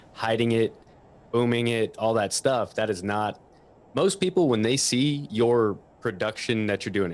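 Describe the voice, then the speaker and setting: A man's voice: lowish voice, slight background noise. A man speaking as an audio expert on a panel, offering practical advice in a conversational, persuasive way.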